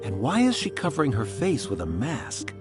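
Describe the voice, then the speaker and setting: An earnest, friendly soldier from a video game. He speaks like a storyteller, with animated pitch. Music plays clearly behind him. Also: moderate pace. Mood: confiding. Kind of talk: video game character voice acting. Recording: background music.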